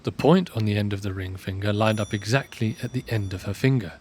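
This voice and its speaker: A man's voice: on the low side, brisk, darkish tone, slight background noise. A man giving scripted narration for a fantasy audio drama, in the style of a storybook. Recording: music bed under voice.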